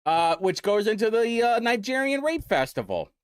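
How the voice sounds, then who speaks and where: A man's voice: sits high, sing-song, moderate pace, clear, resonant tone, no echo, studio quality. A young man from Indiana, hosting a casual commentary show in a sarcastic, mocking way. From Indiana, United States. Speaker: host.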